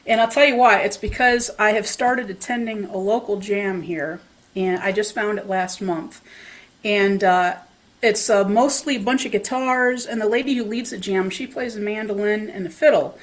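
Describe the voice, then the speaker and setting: A woman's voice: mid-range voice, moderate pace. A woman who plays the dulcimer, chatting casually about a local jam, with lots of fillers and a self-deprecating humor. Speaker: dulcimer player. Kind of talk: casual chat. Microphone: home setup, playing in her room.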